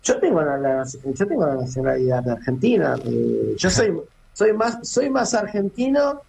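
A man's voice: a little high, sing-song, normal speed, vocal fry, darkish tone, slight background noise, low-quality recording. A middle-aged man from Cape Verde, a former footballer talking as a phone guest in a casual radio interview, hesitant and full of restarts and fillers. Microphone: phone call.

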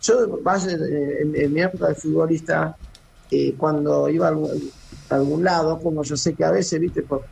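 A man's voice: fairly high pitch, steady tone, normal speed, gravelly, darkish tone, slight background noise, rough recording. A middle-aged man from Cape Verde, a former footballer talking as a phone guest in a casual radio interview, hesitant and full of restarts and fillers. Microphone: phone call.

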